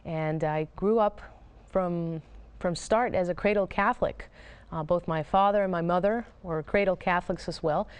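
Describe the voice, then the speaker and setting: A woman from Costa Rica, a guest in a recorded interview, telling her own story in a reflective, measured way. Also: fairly low pitch, smooth.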